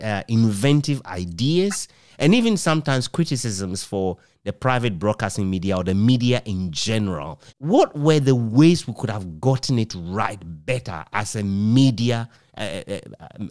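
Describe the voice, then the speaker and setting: A middle-aged Ghanaian man interviewing conversationally, asking long, measured, reflective questions. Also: mid-range voice, darkish tone, no echo, studio quality. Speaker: interviewer, author. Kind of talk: conversational radio history interview.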